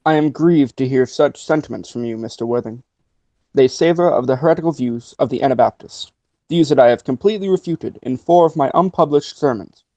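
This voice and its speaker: A man playing a mellow, pompous country clergyman. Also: mid-range voice, fairly quick, dry recording, natural voice. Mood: pompous, genteel. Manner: pedantic, formal. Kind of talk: radio play acting. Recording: dry, slightly dull lo-fi audio.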